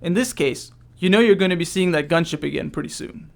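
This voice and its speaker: Male game developer, high and gravelly, reading prepared commentary. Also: fairly quick.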